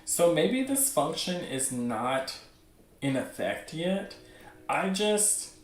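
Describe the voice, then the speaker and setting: Higher-voiced man in an echoey room. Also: big pitch swings, takes it slowly, slight background noise. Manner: rambling, thinking aloud. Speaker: host of a webcomic news show. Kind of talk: casual unscripted commentary. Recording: roomy, echoing sound.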